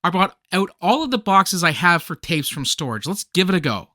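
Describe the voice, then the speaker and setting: Radio comedy: fussy, insistent male collector, played by an actor, bright-edged voice, dramatic and stammering, dry sound. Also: high voice, big pitch swings, fairly quick, no room sound, heavily acted. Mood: earnest, indignant. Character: earnest, fussy man obsessed with old holiday tapes. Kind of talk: comedic radio play acting.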